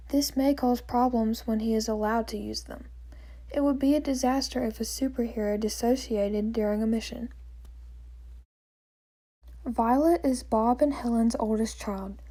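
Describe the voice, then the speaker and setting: A woman narrating a scripted story, reading it aloud in a plain, measured way. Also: on the high side, warm tone.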